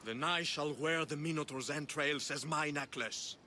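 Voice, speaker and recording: An actor playing a boastful would-be monster hunter in a video game, wordy and grandiose. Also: male voice, fairly high pitch, normal speed, bright, forceful tone, a little hiss or hum. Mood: boastful, eager. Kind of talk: video game character acting.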